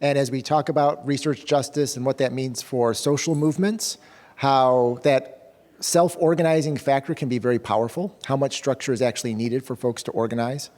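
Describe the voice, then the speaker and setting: A man in a measured, reflective tone guiding a workshop group into a break. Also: medium pitch, steady tone, darkish tone. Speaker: program director. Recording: hall reverb from a room mic.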